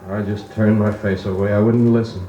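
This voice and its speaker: A man's voice: fairly low pitch, level tone, a little fast, mellow, highs cut off, a little hiss or hum, natural voice. An actor playing an earnest country man who narrates his boyhood dramatically in a 1940s radio drama. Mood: sorrowful. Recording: roomy 1940s record. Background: music.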